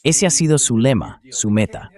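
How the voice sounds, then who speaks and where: A man's voice: mid-range voice, wildly swooping pitch, normal speed, a little mellow. A man hosting a political interview in a dubbed, synthetic translation voice. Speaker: interview show host.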